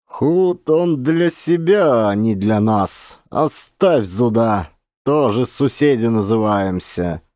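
A man's voice: medium pitch, lively intonation, slow pace, a little mellow, no room sound, clean studio sound. Weary old male peasant, played by a male narrator, resigned and plaintive, sighing, for an audiobook tale. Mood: musing.

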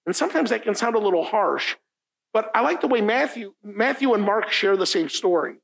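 Man, middle-aged, pastor, first language Italian, gravelly voice, casual sermon, repeats points, church room, distant camera. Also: sits high, sing-song, fairly quick, a bit bright. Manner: informal, often asks "right?", repeats key lines for emphasis. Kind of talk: conversational sermon. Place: church meeting room, indoors, standing at a lectern.

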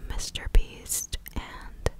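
A woman in her twenties whispering close to the mic in a breathy, casual, chatty ASMR style. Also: very slow. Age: 20s. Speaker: ASMR video host. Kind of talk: whispered ASMR news recap. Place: small living room indoors. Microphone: large desk condenser mic, very close.

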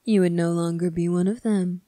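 A woman voicing a stern, bitter young male demon prince in an ASMR roleplay, speaking deliberately and accusingly, at times sinking toward a whisper. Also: on the low side, fairly even intonation, mellow, dry recording, studio quality, lightly acted. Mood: measured, tempting. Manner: slow, deliberate, accusing, with breathy whispered phrases. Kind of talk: scripted ASMR roleplay monologue.

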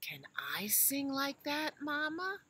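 Woman voicing a high, curious little elephant child. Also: wildly swooping pitch, takes it slowly, sharp tone, full character voice. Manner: questioning, eager.

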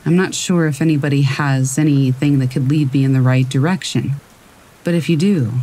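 A woman calmly reading true stories aloud as a narrator. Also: very deep voice, normal speed. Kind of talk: calm scripted narration. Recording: faint rain noise behind. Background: rain.

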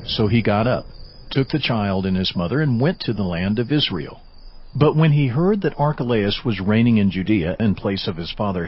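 A man narrating a dramatized Bible in a measured, formal scripted style. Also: on the low side, slightly rough. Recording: slightly dull audio over music.

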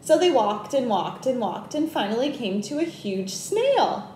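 High, lilting young woman reading a storybook. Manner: animated, deliberate. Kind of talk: expressive children's picture-book read-aloud. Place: small plain indoor room. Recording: echoey room with background noise.

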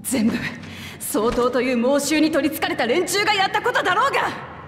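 High-voiced tomboy princess. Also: female voice, very animated, fairly quick, fairly bright tone. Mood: indignant, consoling. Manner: blunt, boyish phrasing, earnest. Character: young royal heroine, blunt, tomboyish, compassionate and determined. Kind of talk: dramatic video game voice acting. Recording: echoey long reverb under music.

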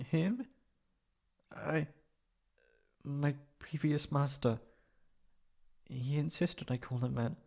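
A man's voice: fairly high pitch, creaky, darkish tone, full character voice. A man voicing a proud but insecure, emotional tsundere catboy in an ASMR roleplay, with hesitant, stammering speech. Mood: sad, ashamed.

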